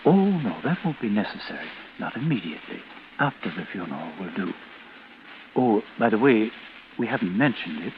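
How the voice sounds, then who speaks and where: An actor playing a solicitous, solemnly courteous mortician with a smooth sales patter in a 1940s radio drama. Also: male voice, on the low side, sing-song, normal speed. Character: unctuous, solicitous mortician selling caskets. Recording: muffled, hissy old radio recording.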